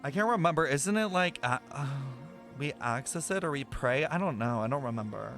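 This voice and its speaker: A young man streaming a game reaction, thinking out loud with excited speculation and casual swearing. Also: a little high, fairly quick, music in the background.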